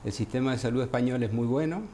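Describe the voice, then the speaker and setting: A man with an Argentine accent, a gynecologist guest on a radio interview by phone, speaking in a measured way with many fillers. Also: medium pitch, dark tone, slight background noise. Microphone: phone line.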